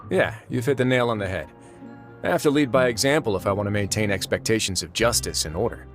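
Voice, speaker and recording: An actor playing a calm, shrewd male duke in a video game, speaking in a measured, deliberate way with dry humor. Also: fairly low pitch, faint music behind, close to an everyday voice.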